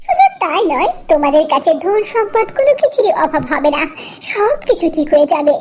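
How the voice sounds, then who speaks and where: A woman's voice: extremely high pitch, sing-song, a little fast, slight background noise, pitched above the performer's own voice. A woman voicing a kind, reassuring magical fairy in a cartoon tale, theatrical and assured. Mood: concerned, reassuring. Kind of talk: cartoon story character acting.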